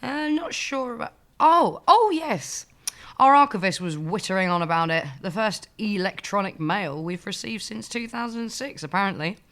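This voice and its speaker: A woman's voice: mid-range voice, lively intonation, moderate pace, a bit bright. A woman playing a lazy, shameless receptionist in an audio sitcom, with a flippant, dry manner. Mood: breezily unhelpful.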